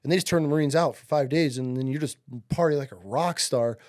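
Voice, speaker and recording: A man's voice: a little high, fast talker, darkish tone. A man in his late thirties from upstate New York, telling stories as a podcast guest in a laid-back way, swearing and saying "dude" and "like" a lot. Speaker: podcast guest, Marine veteran. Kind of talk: casual interview storytelling.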